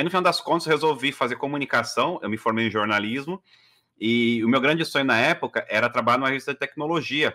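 A man's voice: medium pitch, sharp tone. A man, telling his story as a guest on a video call, chatty with many fillers. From Brazil. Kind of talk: casual interview storytelling. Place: living room at home.

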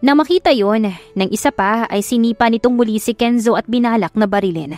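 A Filipino woman narrates a scripted love story in a fairly high voice. Her delivery is dramatic, as in an audiobook. The recording is dry, with soft music behind her. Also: a little fast, dry recording.

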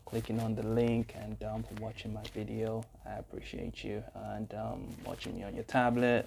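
A man's voice: medium pitch, gravelly, dark tone, slight background noise. A young man chatting casually as he reacts to a song, upbeat and full of fillers, recorded close up on an earphone mic in a small room. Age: young adult. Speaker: music reaction vlogger. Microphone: earphone mic, phone camera close up.

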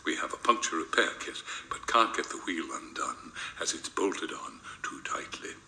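Older man as a chatty storybook village lady, low and hushed, in a roomy theatre. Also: lively intonation, normal speed, vocal fry, in a whisper, full character voice. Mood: explanatory. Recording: roomy theatre sound, faint background noise.

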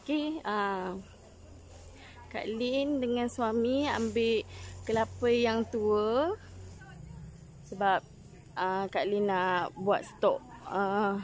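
A woman's voice: fairly high pitch, a little mellow, slight background noise. A young Malaysian woman with a Malaysian Malay accent, chatting casually through a family vlog, filming on a handheld phone outdoors in a rural garden. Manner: chatty, calls out to her small child. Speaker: vlogger. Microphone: handheld phone camera at arm's length.

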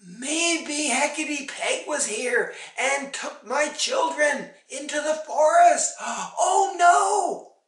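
A woman voicing an anxious storybook mother who calls for her children in a singsong that rises to shouts. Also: mid-range voice, sing-song, takes it slowly, voice pushed up, heavily acted. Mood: frantic, distressed. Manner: singsong calling, rising to shouts. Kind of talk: dramatic oral storytelling. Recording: echoey room, distant microphone.

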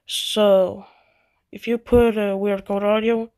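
A woman giving a casual software tutorial in halting, step-by-step instructions. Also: medium pitch, flat delivery. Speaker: tutorial host. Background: voice-over to a screen recording.

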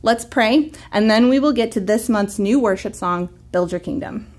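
A woman in her thirties teaching a children's church lesson to camera, gentle, clear and encouraging. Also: fairly high pitch, moderate pace.

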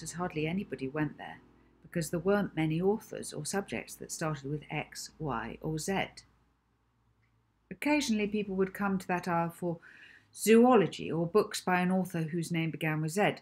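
A middle-aged woman reading a children's story aloud as its author, in a measured, deliberate way. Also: on the low side, husky. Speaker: author reading own book. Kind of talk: children's audiobook narration.

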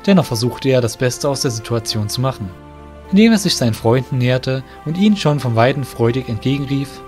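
Man, narrator, measured storytelling, mid pitch, faint music. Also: expressive, darkish tone. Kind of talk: storybook narration of an audio drama.

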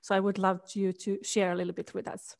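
A woman hosts an interview on a video call. Her voice sits at an ordinary middle pitch. She speaks into a headset indoors. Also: little pitch movement, fairly quick, vocal fry, darkish tone. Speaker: interview show host. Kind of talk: interview hosting.

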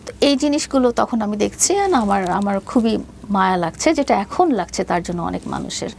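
Woman, fifties, Bangladeshi accent, creaky voice, hesitant storytelling, English mixed in, faint background noise. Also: mid-range voice, animated, darkish tone. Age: 50s. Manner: hesitant, halting delivery, mixing in English phrases. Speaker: interview guest. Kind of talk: personal storytelling in an interview.

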